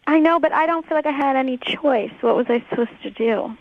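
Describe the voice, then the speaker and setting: A woman's voice: high voice, a little fast. A woman calling in to a radio show, chatting casually and hesitantly with lots of filler "like". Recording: dull, lo-fi phone-in sound.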